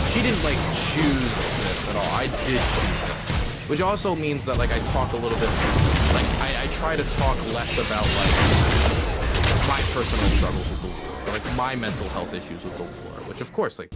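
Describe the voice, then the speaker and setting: A man who works as a war journalist, talking casually and rambling, with lots of fillers and restarts. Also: medium pitch. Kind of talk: casual conversation.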